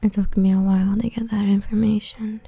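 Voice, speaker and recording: A woman playing an obsessive, protective villain with destructive powers, whispering softly and possessively into a close mic in an ASMR roleplay. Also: mid-range voice, little pitch movement, brisk, husky, no room sound. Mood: soothing, possessive.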